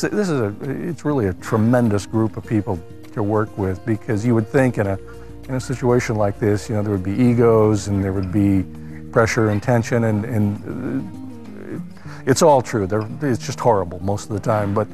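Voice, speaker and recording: A man's voice: lowish voice, darkish tone. A man who leads a radio comedy troupe, reminiscing in an interview with a dry, joking manner.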